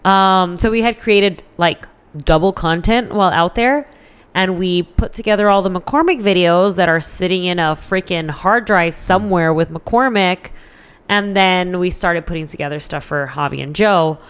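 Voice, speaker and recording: A woman's voice: lowish voice, normal speed, smooth. An American woman chatting casually as an interview guest, candid and informal, swearing freely as she tells personal stories.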